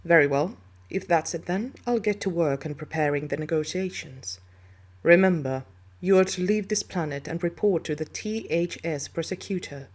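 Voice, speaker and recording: A man's voice: on the high side, normal speed, close to an everyday voice. A man playing a formal, commanding alien superior officer in an audio roleplay, with a clipped, official manner.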